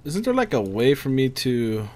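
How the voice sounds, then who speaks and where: A man plays a video game and talks his way through it in a casual voice. He thinks out loud and swears freely as he reacts. His pitch sits in an ordinary middle range. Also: big pitch swings, moderate pace. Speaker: gamer recording a playthrough. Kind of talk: casual gameplay commentary.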